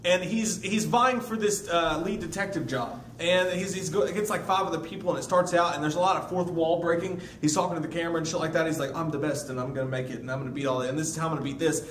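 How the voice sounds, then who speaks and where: An excitable man reviewing a film in a quick, profane, casual ramble. His voice sits a bit high, and he bursts into loud squeals now and then. The recording is roomy and distant, with a faint hiss under it. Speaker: movie reviewer co-host.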